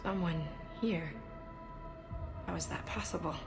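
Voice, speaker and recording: An actress playing a bewildered colonist in a video game, subdued and disbelieving. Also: female voice, lowish voice, unhurried, vocal fry, music in the background. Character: bewildered, apologetic female colonist.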